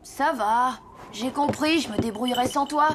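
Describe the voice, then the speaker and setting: A woman's voice: high voice, normal speed, background noise, natural voice. A woman voicing an earnest, self-doubting orphan boy in a French children's cartoon. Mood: insistent. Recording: music behind the voice.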